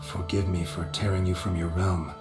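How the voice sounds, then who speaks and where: Under loud music, in an echoing space, a man acts out a gruff game hero, a weary monster hunter. His voice is low and gravelly, his delivery clipped and wry. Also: flat delivery, normal speed, close to an everyday voice. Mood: solemn. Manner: terse, dry, clipped delivery. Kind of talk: video game character dialogue. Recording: roomy reverb, loud game music behind.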